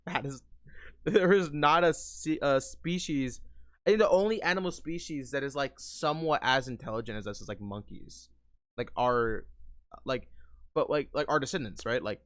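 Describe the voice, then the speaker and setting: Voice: mid-range voice, normal speed, dry recording, studio quality. A streamer giving casual, rambling reaction commentary, swearing and saying "like" often. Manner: informal, rambling, with frequent "like" and swearing.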